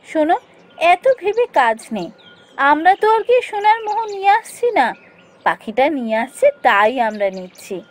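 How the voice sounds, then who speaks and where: A woman acting a high-voiced village wife. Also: very animated, normal speed. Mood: insistent. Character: poor village wife, tender and practical. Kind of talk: Bengali fairy-tale cartoon dialogue.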